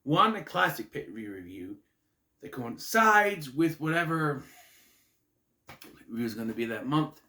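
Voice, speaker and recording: A man hosting his own wrestling review vlog, rambling casually while getting over a cold, a bit nasal and clearing his throat. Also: measured pace, pauses often. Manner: chatty, self-interrupting, lively pitch swings. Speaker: host of a wrestling review channel. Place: his room at home. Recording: echoey room.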